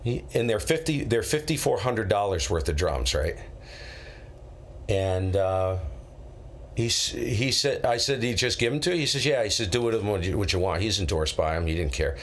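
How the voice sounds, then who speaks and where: A middle-aged man with an American accent and a deep voice talks casually over light background noise. He hosts a live stream, rambling through stories and slipping into quoted dialogue as he goes. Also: animated, moderate pace. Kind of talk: casual chat, rambling anecdotes.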